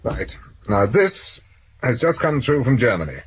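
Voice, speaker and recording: A man's voice: mid-range voice, big pitch swings, measured pace. A man playing a brusque, sardonic British police superintendent in a radio drama. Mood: dry briefing. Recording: muffled radio recording with faint noise.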